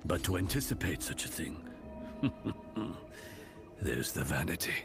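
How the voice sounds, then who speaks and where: An actor playing a cryptic, brooding male prophet in a dark fantasy game, measured and ominous. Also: a bass voice, big pitch swings, loud music behind the voice, full character voice.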